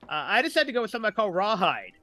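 A man's voice: sits high, sing-song, rapid-fire, pushed and bright. A man talking casually as a podcast guest, informal, with trailing asides. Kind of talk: casual chat.

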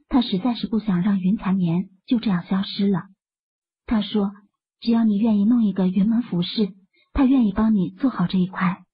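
An actress playing a composed, soft-spoken young wife who explains things in an urban fantasy audiobook. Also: female voice, medium pitch, slightly rough. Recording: muffled, phone-like, lo-fi audio.

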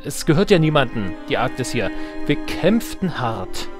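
A man's voice: medium pitch, big pitch swings, normal speed. A man hosting a let's play, commenting casually on the game with self-ironic, playful asides and reading game text aloud. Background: game music.

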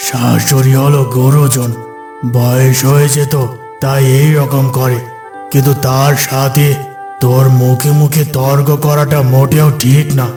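A man voicing a calm, fatherly wandering tantric holy man in a cartoon, counselling in a solemn manner. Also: medium pitch, measured pace, roomy sound, over music, close to an everyday voice. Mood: kindly, advising. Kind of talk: cartoon voice acting.

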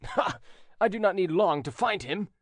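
A man voicing an enraged tall young duke with a loud, commanding delivery in an audiobook. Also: high voice, expressive, brisk, no room sound, voice pushed up. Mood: furious. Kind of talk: audiobook reading.

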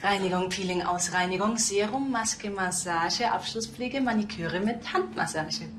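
A woman's voice: mid-range voice, unhurried, fairly bright tone, slight background noise, lightly acted. Chatty salon owner played by a woman. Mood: eager, chatty. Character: chatty, eager female beauty salon owner. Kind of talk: TV crime comedy acting.

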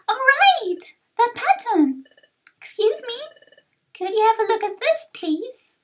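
A woman voicing a young, earnest and excitable little adventurer creature in a video game. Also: extremely high pitch, takes it slowly, full character voice. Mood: eager, earnest. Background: game music.

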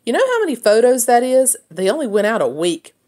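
A woman's voice: a little high, wildly swooping pitch, brisk, a little mellow, pitched above the performer's own voice. A woman voicing an annoyed, scornful young boy in a children's book, with blunt, exasperated complaining.

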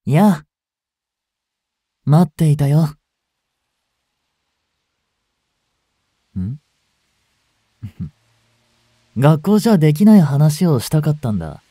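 A man playing a gentle, brooding male chemistry teacher in a voice drama, soft-spoken with sighs and murmurs. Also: fairly high pitch, big pitch swings, slow, pauses often, no echo, clean studio sound, full character voice. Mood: eerily friendly. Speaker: voice actor in a fan voice drama. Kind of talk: Japanese audio drama monologue, intimate acting.

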